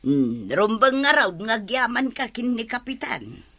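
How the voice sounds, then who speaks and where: A spirited ilocano radio drama lady, high voice swooping all over, drawn out and exclamatory. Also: very animated, unhurried, exaggerated voice. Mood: chiding. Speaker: actress and program announcer. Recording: loud music under the voice. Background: loud music.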